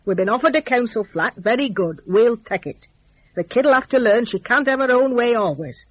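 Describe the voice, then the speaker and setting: A woman voicing a slow, obstinate, riled working-class father in a story, firm and stubborn. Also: fairly high pitch, expressive, dry recording, lo-fi sound.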